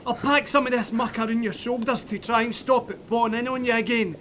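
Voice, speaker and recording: An actor playing a plucky, stubborn young Glaswegian soldier, gruff and urgent but reassuring, in an audio drama. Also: male voice, very high voice, steady tone, a little fast. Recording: battlefield noise behind.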